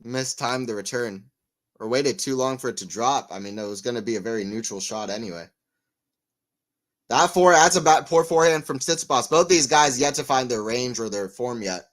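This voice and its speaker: A man giving live tennis play-by-play on a stream, chatting with viewers and getting excited on big points. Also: medium pitch, moderate pace. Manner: excitable on rallies, rambling and self-mocking between points. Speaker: tennis watchalong commentator. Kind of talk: live play-by-play and casual chat.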